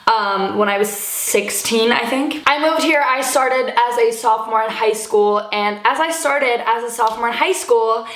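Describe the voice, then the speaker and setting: A woman's voice: on the high side, moderate pace, hardly any pauses, fairly bright tone, reverberant, poor audio. A young woman from Sweden telling a casual storytime to her viewers, chatty and full of fillers, swearing freely. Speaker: YouTuber.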